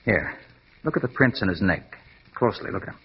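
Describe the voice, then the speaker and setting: An actor playing a brisk, confident American private detective in clipped old-time radio drama style. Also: male voice, fairly low pitch, animated, slightly rough, heavily acted. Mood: thoughtful. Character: brisk, self-assured male private detective. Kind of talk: 1940s radio detective acting. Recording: dull, noisy old radio recording.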